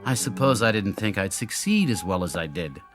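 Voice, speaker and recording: A man's voice: fairly low pitch, expressive, normal speed, music in the background, close to an everyday voice. An actor in a radio drama playing a brilliant, lonely male inventor who explains himself in theatrical, brooding monologues. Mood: resigned, doubtful. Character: brilliant, lonely, self-doubting male inventor of an android double.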